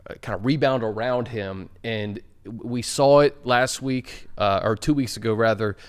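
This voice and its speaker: American man hosting sports talk, mid-pitched voice. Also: moderate pace, smooth. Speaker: sports show host. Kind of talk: casual sports talk and analysis.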